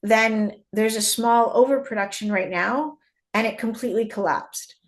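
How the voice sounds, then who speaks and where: A woman's voice: on the high side, moderate pace. A woman originally from Canada, a mining industry guest in an interview, explaining at length with frequent restarts and repeated words. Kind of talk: conversational interview.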